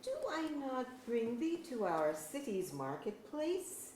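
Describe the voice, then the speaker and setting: A woman playing a friendly, devoted elf ambassador in an archaic verse drama, expressive in delivery. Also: a little high, slow. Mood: earnest appeal. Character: friendly, doting elf ambassador befriending a captive. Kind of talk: staged reading of pseudo-Elizabethan verse drama. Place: museum auditorium, live reading. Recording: echoey auditorium with background hiss.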